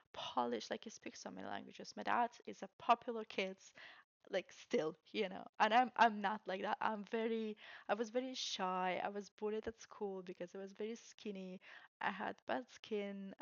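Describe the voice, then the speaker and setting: Young woman, Estonian accent, gravelly fry in the voice, candid casual storyteller, close dry sound. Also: medium pitch, dry recording, highs cut off. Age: young adult. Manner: candid, self-deprecating, chuckles while talking. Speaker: vlogger telling her career story.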